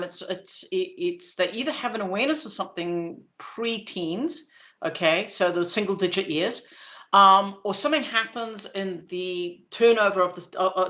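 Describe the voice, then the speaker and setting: Fifties woman from Zimbabwe, lower-pitched, chatting as a podcast host with loose, meandering delivery. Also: fairly bright tone. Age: 50s. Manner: chatty, rambling, with many fillers and restarts. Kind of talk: casual spoken monologue.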